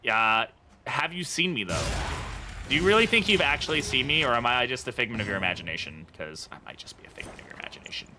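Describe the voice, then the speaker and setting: A man's voice: mid-range voice, lively intonation, fast, over loud music. A man with an American accent giving casual, chatty live game commentary, joking and muttering to himself as he talks to chat. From born in England, grew up in the United States. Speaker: game streamer.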